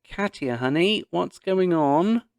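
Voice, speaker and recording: Man playing a gentle storybook mum. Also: sits high, animated, slow pace, creaky, smooth, no room sound, voice pushed up, full character voice. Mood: calling, concerned. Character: caring, calm mother of a schoolgirl.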